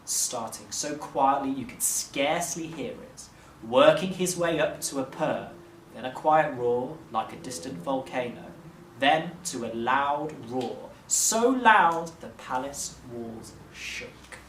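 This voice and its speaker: A man narrating a children's story expressively, like a teacher reading aloud, with measured dramatic phrasing. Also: medium pitch, lively intonation, fairly bright tone. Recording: roomy, distant mic, faint noise.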